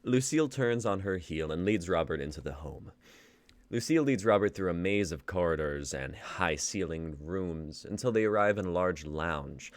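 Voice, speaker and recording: A man's voice: low voice, expressive, moderate pace, dry recording. A young man in his twenties hosting a live script reading, laughing easily and reading the stage directions. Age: 20s. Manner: laid-back, laughing, uses y'all. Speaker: host and playwright.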